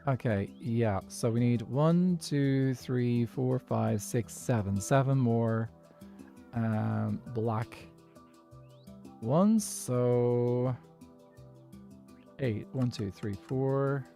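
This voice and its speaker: A man's voice: mid-range voice, extremely slow, creaky, pauses often, mellow, faint music behind. Posh-sounding Dublin Irish man chatting. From Dublin, Ireland. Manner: chatty, thinks aloud, laughs often. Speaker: streamer playing a block-building game. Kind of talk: casual gameplay chat.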